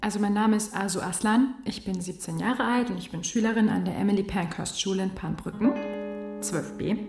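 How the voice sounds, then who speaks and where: A woman's voice: mid-range voice, moderate pace, creaky, close to an everyday voice. An actress playing a chatty, rambling seventeen-year-old schoolgirl doing an internship, in a radio drama. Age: teens. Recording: roomy with guitar music behind.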